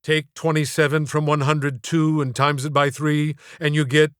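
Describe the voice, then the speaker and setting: Theatrical male storyteller with a higher-pitched voice. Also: level tone, normal speed, a bit bright, smooth, no room sound, clean studio sound. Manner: theatrical, wry, mock-formal phrasing. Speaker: audiobook narrator and author. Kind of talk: comic scripted storytelling narration.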